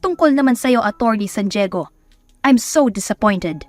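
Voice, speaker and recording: A woman's voice: a little high, lively intonation, normal speed, no room sound, lightly acted. A woman voicing a stern old judge in an audio story, authoritative, impatient and dismissive. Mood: scolding. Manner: authoritative, scolding. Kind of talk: dramatic audio story acting.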